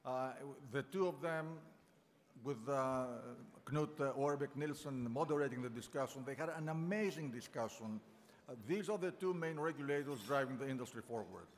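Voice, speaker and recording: A man hosting an event luncheon, giving an unscripted, halting welcome speech and thanking his guests. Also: mid-range voice. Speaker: event host and organizer. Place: large banquet hall. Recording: long reverb of a large hall.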